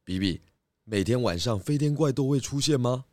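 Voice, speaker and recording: A man's voice: medium pitch, lively intonation, moderate pace, a little mellow, no echo, clean studio sound, natural voice. A man playing a courteous, inquisitive pig detective in a children's audio mystery drama. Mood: polite, inquisitive. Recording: music behind the voice.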